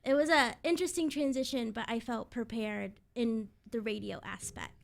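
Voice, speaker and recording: A woman's voice: on the high side, normal speed, creaky. A woman in her twenties, a radio presenter, giving upbeat conversational answers as an interview guest. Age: 20s.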